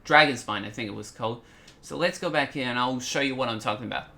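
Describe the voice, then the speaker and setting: A man's voice: mid-range voice, fast, vocal fry, bright, forceful tone. A man hosting a gaming video with casual commentary, stumbling over words and reading dialogue aloud.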